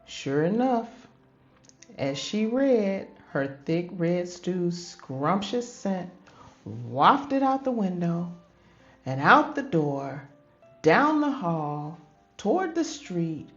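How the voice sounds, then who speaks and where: A woman reading a children's story aloud as host, with dramatic, drawn-out phrasing in a grandmotherly manner. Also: fairly low pitch, very animated. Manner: addresses listeners as babies, grandmotherly. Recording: dull lo-fi audio with music behind. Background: background music.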